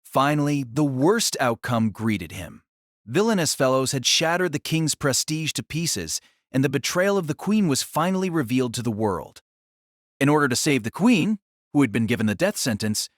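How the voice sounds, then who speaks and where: Expressive male narrator, solemn and theatrical. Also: mid-range voice, dry recording, clean studio sound. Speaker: audiobook narrator. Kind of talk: dramatic scripted novel narration.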